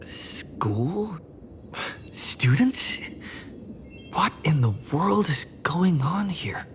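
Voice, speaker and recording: A woman voicing a bewildered woman in a video game, hushed and deliberate. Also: sits low, sing-song, slight background noise, heavily acted.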